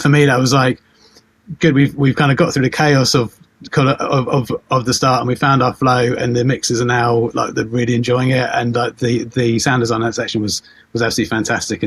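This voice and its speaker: A man telling casual anecdotes on a panel, haltingly, often repeating words as he finds his way. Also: medium pitch, fairly bright tone, breathy edge. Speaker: production team member on a panel.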